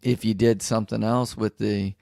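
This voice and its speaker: Male host, lowish gravelly voice, chatty questioner, dry sound. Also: steady tone, moderate pace, darkish tone, dry recording. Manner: leading questions, chatty, laughs easily. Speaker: podcast host. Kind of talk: casual chat.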